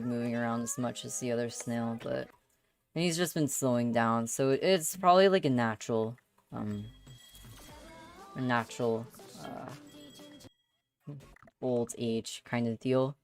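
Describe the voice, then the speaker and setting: A woman's voice: very deep voice, a little slow, pauses often, soft background music. A young adult woman hosting a livestream and chatting casually. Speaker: livestream host.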